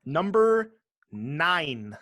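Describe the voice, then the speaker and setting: A man's voice: on the high side, big pitch swings, drawn-out words, pushed and bright, no room sound, clean studio sound. A man co-hosting a podcast, chatting casually with some swearing as he runs through a ranked list. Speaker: podcast co-host. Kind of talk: casual chat, reading out a ranked list with commentary.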